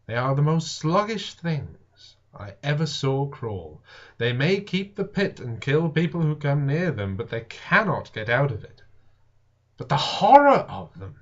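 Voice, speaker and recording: A man reading a storybook aloud as a shaken, earnest Victorian gentleman, speaking with theatrical emphasis. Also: a little high, very animated, a little slow. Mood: grim horror. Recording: dull lo-fi home recording.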